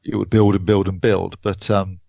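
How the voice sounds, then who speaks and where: A man's voice: deep voice, flat delivery, moderate pace, gravelly, dry recording, dull audio. A man giving a casual review as a podcast panellist.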